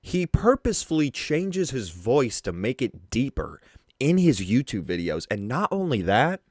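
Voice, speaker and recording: A young man with a deep voice giving crude, mocking commentary and swearing freely. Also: sing-song, a little slow, dry recording, clean studio sound. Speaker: commentary streamer. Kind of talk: casual drama commentary.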